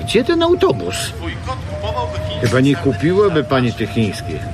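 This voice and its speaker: A man's voice: medium pitch, huge pitch swings, unhurried, crowd noise. An actor playing a pompous, melancholy old-fashioned craftsman in a radio drama, speaking in florid, theatrical phrases. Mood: grumbling, disdainful. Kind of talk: Polish radio drama acting.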